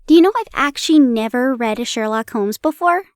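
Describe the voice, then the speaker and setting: A young woman in a playful roleplay, chatting warmly to the listener and reading an old story aloud, giggling and stumbling over old place names. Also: on the high side, expressive, brisk, no echo, clean studio sound. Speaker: audio roleplay performer.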